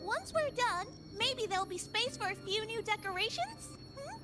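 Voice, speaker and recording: A woman's voice: very high-pitched, very animated, normal speed. A woman voicing a small, cheerful helper creature in a video game, polite and eager to serve. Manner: polite, chirpy, servile. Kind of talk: game character acting. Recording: background music over the voice.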